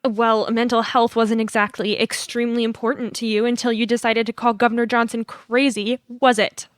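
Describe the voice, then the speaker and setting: An actress playing a bitter young adult daughter of a politician in an audio play, sarcastic and confrontational. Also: female voice, on the high side, level tone, normal speed, dry recording, clean studio sound, close to an everyday voice. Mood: accusing.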